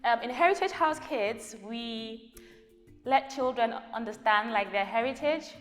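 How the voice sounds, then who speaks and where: A woman's voice: on the high side, unhurried, long reverb tail, soft background music. A woman introducing her children's group in a casual way to camera. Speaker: organiser of a children's heritage group.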